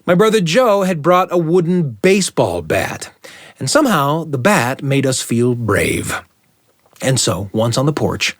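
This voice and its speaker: A man telling a story as a radio host, with deliberate, suspenseful phrasing and dramatic repetition. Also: medium pitch, slightly rough, dry recording.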